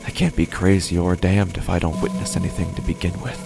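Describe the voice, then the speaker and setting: An actor playing an anxious, unraveling office worker, narrating a first-person horror audio drama in halting, intense short phrases. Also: male voice, low-pitched, fairly even intonation, vocal fry, over music. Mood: uneasy reasoning.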